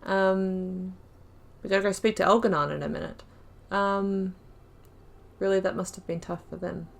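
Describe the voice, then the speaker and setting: A woman's voice: medium pitch, steady tone, normal speed, gravelly. A woman hosting a let's play, chatting and thinking aloud as she plays, laughing often. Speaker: gaming channel host.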